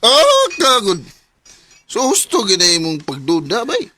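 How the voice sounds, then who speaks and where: A man's voice: sits high, wildly swooping pitch, a little slow, pushed and bright, no room sound, exaggerated voice. An actor playing a probing male buddy in a radio drama, with a Cebuano Filipino accent. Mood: concerned.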